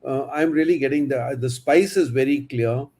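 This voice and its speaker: A man's voice: mid-range voice, moderate pace, darkish tone. Man hosting an interview, telling anecdotes. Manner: anecdotal, with frequent fillers. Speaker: host.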